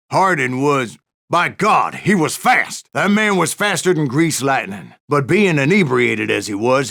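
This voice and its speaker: An actor playing a grizzled old bounty hunter, a boastful, folksy storyteller with a gravelly Western American drawl in a video game. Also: male voice, medium pitch, fairly bright tone. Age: older. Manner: folksy, salty, yarn-spinning. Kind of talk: dramatic first-person video game narration.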